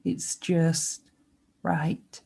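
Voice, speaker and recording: A woman guiding a sleep meditation with soothing narration, speaking gently and unhurriedly with pauses between short phrases. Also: sits low, level tone, creaky, husky. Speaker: meditation guide.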